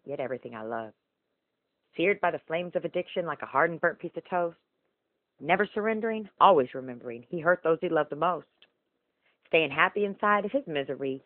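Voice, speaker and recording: A woman's voice: deep voice, dry recording. Woman reciting slam poetry, dull lo-fi recording. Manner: emotional, rhythmic rhyming delivery. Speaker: slam poet.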